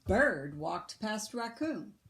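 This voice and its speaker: A woman reading a picture book aloud to children like a teacher, deliberate and expressive, pausing to explain. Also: medium pitch.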